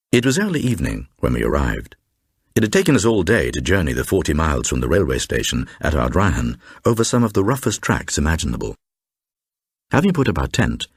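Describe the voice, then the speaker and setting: Very deep, husky storyteller of dread. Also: male voice, no room sound, studio quality, exaggerated voice. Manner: deliberate, suspenseful storytelling. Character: grave male holidaymaker recounting an eerie tale of dread. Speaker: narrator. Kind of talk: dramatised first-person reading of a supernatural horror tale for radio.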